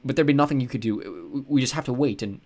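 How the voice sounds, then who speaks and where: A man voicing a worried father who speaks gently and earnestly. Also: mid-range voice, lively intonation, fast talker, voice pushed up. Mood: gentle. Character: stressed worried father, gruff then tender.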